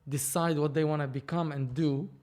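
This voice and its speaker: A man, speaking in a voice that sits a little higher than most men's. He tells his story as a podcast guest in loose, animated chat, often restarting his sentences as he goes. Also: normal speed. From Lebanon. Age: 30s. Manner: animated, rambling, frequent restarts. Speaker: film producer, podcast guest. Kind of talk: casual conversational storytelling.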